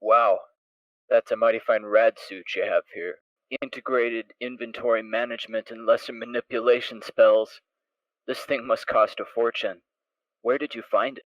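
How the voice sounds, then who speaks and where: A man's voice: mid-range voice, fairly even intonation, moderate pace, no echo, dull audio, close to an everyday voice. A man playing a big unicorn stallion who speaks in a folksy, appraising, skeptical way. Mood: curious.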